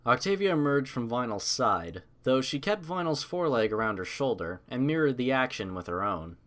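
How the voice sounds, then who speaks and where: A man's voice: mid-range voice, very animated, moderate pace, fairly bright tone. A man narrating a scripted story as an audiobook reader.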